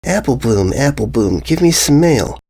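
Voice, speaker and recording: A man's voice: mid-range voice, big pitch swings, normal speed, vocal fry, warm tone, husky, heavily acted. A man voicing a ditzy grown mare from a rhyming storybook who pleads in singsong calls. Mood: eager pleading.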